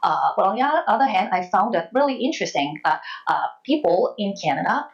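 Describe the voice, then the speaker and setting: Halting Hong Kong-accented woman, dull recording. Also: medium pitch, animated. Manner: hesitant, halting, repeats words. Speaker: interview guest, former journalist. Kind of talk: reflective interview answers.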